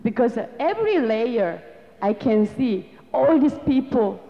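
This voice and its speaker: A Korean-accented woman whose voice sits a little high and moves slowly and deliberately. She lectures in earnest, reflective, non-native English. The sound is dull and carries a long hall reverb. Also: very animated, vocal fry. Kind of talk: lecture to an audience.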